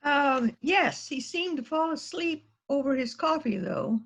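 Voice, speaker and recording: A woman's voice: sits high, lively intonation, unhurried, a bit bright, a little hiss or hum, full character voice. A woman playing a polite, composed housewife with a secret in an amateur readers theater rehearsal, speaking in a mannered way. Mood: innocent. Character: polite, outwardly innocent housewife, secretly a murderer.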